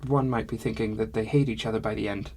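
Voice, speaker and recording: A man voicing a cheerful female dwarf stablehand who speaks in a stilted, oddly formal way, with a husky voice. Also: extremely low pitch, steady tone, fast talker, a little mellow. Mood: explaining. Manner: stilted phrasing with much "is being".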